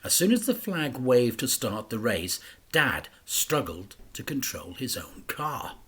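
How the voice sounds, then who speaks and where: A man, a teacher, reading a children's story aloud, shifting between hushed whispers and loud acted-out crash sounds. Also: mid-range voice, big pitch swings, a little slow, slightly rough. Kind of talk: dramatic storybook read-aloud.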